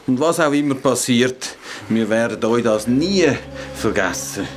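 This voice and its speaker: An actor with a Swiss German accent playing a weary, kindly elder who speaks in a resigned, solemn way in a radio play. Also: male voice, medium pitch, lively intonation, measured pace, background noise, lightly acted.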